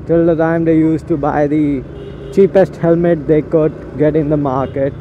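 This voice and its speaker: Young man chatting from a motorbike. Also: on the high side. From India. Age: young adult. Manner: rambling, offhand, slow chatty asides while riding. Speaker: motovlogger. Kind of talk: casual riding commentary. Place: on a motorcycle on city roads outdoors. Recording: muffled helmet mic with traffic noise.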